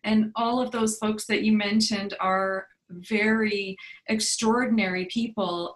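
A woman interviews a fellow singer in a speaking voice of middle pitch. She is eager and affirming, praising her guest and chiming in with encouragement. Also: rough recording. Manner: enthusiastic, affirming. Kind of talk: interview conversation.

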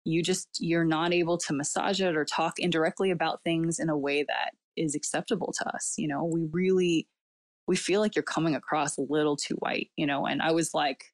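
A woman's voice: low voice, fairly even intonation, fairly quick, no room sound. A woman whose first language was Cantonese, speaking in a casual interview and reflecting at length with many fillers and frequent laughs. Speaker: guest. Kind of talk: casual personal interview talk.

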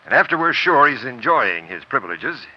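A man playing a scheming, authoritative police detective, brisk and businesslike, in a 1940s radio crime drama. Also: medium pitch, sing-song, breathy edge. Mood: plotting. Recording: dull, lo-fi old radio recording.

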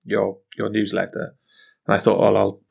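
A man's voice: fairly low pitch, monotone, moderate pace, vocal fry, darkish tone, slightly rough. A man hosting a podcast interview, talking hesitantly with repeated words and fillers. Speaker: podcast host. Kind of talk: casual interview chat.

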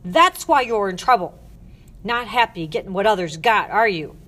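A woman's voice: medium pitch, normal speed, natural voice. Woman acting a stern, spiteful matron barking orders. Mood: scornful, accusing. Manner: barking orders, scolding, accusing. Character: stern, spiteful, shrill older female head matron. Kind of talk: audiobook character voice.

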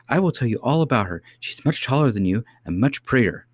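A man's voice: medium pitch, expressive, brisk, no room sound, voice pushed up, natural voice. An earnest little girl princess, voiced by a man, speaking in a lifted, eager voice. She insists on her story with childlike indignation, as in a storybook reading, recorded close and dry. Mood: insistent.

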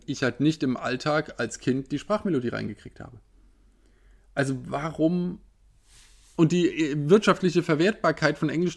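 A man in his thirties ranting in a casual, animated way, firing off rhetorical questions. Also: on the high side, vocal fry. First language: German. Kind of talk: casual opinion monologue.

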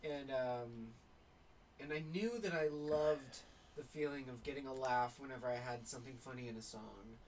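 A man's voice: medium pitch, normal speed. Laid-back comedian man over background noise. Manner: relaxed storyteller, casual swearing. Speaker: stand-up comedian guest. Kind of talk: casual chat. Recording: noisy background.

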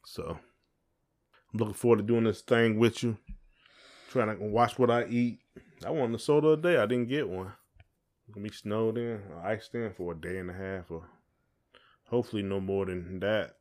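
A man's voice: fairly low pitch, moderate pace, long pauses, darkish tone. A man hosting a casual podcast chat, talking in an informal, colloquial way. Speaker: podcast host.

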